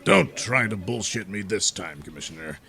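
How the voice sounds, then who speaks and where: A man's voice: low-pitched, lively intonation, normal speed, gravelly, pushed and bright, heavily acted. A man playing a pompous, hot-tempered superhero vigilante with theatrical bluster in a comedic audio drama. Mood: indignant.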